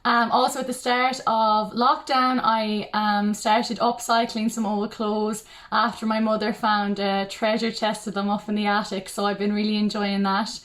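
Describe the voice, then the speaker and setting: A woman in her twenties with an Irish accent introducing herself casually, with many pauses and ums. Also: medium pitch, flat delivery, a bit bright. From Ireland. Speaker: pageant contestant, primary teacher.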